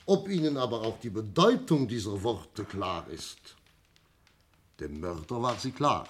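A man playing a shrewd, self-assured police inspector in a 1960s radio crime drama, explaining things theatrically with emphatic pauses. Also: lowish voice, expressive, a little hiss or hum. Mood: lecturing, dramatic. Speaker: actor in a radio play.

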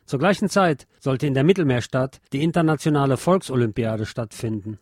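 A man's voice: mid-range voice, brisk, no echo. Dry-recorded man narrating history. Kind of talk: scripted historical narration, reading aloud.

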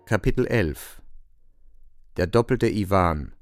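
Expressive male narrator with creaky edge. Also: deep voice, slow, loud music behind the voice. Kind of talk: dramatic literary narration for a radio play.

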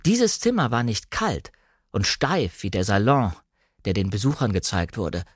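A man reading literary narration in standard German, with measured, carefully articulated storytelling. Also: on the low side, sing-song, husky, dry recording, clean studio sound. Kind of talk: scripted literary narration, audiobook-like radio reading. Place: dry studio.

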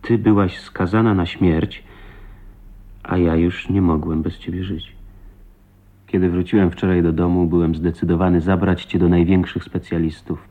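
An actor playing an ardent, proud man in love with his wife, in impassioned, halting 1980s Polish radio drama style. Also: deep voice, dark tone, slightly rough. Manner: impassioned, emotional, halting delivery. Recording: dull lo-fi radio play recording.

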